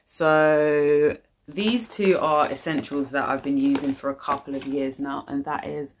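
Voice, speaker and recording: Casting: young British woman with a low-pitched voice, informal and slangy, talking to camera like a casual vlogger. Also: fairly even intonation, a little slow, a little hiss or hum. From United Kingdom. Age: young adult. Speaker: YouTube channel host. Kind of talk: casual vlog chat. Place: living room, indoors.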